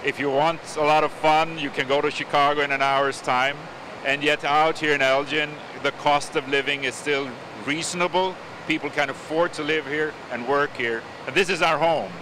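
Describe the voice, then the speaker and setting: A man's voice: on the high side, steady tone, moderate pace. Man CEO from Sweden, earnest and plain, noisy outdoors. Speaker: company CEO. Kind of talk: casual interview talk.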